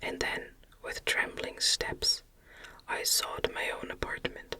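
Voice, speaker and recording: A narrator reading a classic novel in a soft, breathy ASMR whisper, with a soothing and deliberate cadence. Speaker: audiobook narrator.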